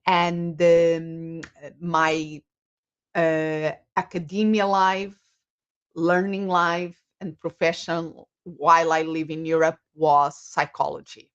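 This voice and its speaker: Woman guest from Portugal; low, unhurried, thoughtful, on a muffled call. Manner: reflective, many pauses and fillers. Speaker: guest, psychologist and coach. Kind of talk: interview answers.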